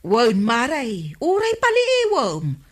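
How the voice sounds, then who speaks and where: A woman's voice: on the high side, wildly swooping pitch, slow, background noise, full character voice. A woman with an Ilocano accent playing a curious, chatty village councilwoman in a comedy radio drama.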